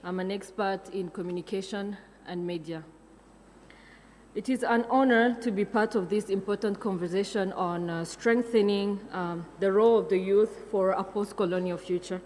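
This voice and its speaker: A woman's voice: medium pitch, moderate pace, darkish tone, long reverb tail, a little hiss or hum. A young woman from Rwanda gives a prepared conference speech, reading with fillers and self-corrections. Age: young adult. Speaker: conference speaker.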